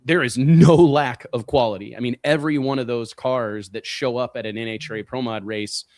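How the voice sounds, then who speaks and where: A man's voice: mid-range voice, fairly even intonation, moderate pace, a little mellow, no echo, studio quality. A man hosting a casual racing talk show, stressing points by repeating words. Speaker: show host. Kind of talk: casual podcast chat.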